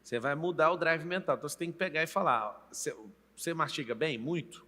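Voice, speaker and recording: A Brazilian host in his late thirties who coaches with emphasis and often speaks straight to the audience. His voice is slightly high and strongly bright, with a forceful edge. A long reverb trails behind it in the room. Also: quick pace. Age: late 30s. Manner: emphatic, coaching, addresses the viewer directly. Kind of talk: motivational interview talk.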